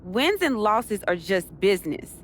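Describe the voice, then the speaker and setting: A woman's voice: medium pitch, very animated, unhurried, a little hiss or hum. A woman playing a confident, determined pro wrestler in a video game cutscene. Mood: determined, indignant. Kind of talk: scripted game cutscene dialogue.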